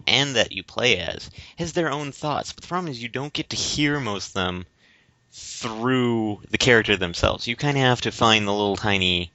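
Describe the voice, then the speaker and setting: A man co-hosting a casual discussion podcast, explaining things in a halting, rambling way. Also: mid-range voice, expressive, sharp tone, no room sound. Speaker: podcast co-host. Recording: dull, lo-fi voice recording.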